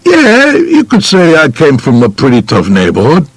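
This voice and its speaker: A man's voice: on the high side, wildly swooping pitch, moderate pace, breathy edge, dry recording, pitched above the performer's own voice, heavily acted. A man playing a tough, streetwise Brooklyn mobster who recalls his boyhood in blunt, colloquial speech for an audiobook. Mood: matter-of-fact.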